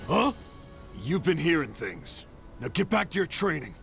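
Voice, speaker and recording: Creaky, wry, hard-drinking martial arts master. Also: male voice, on the high side, big pitch swings, measured pace, a bit bright, faint music behind, full character voice. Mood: wry, dismissive. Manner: wry, grumbling. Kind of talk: video game voice acting.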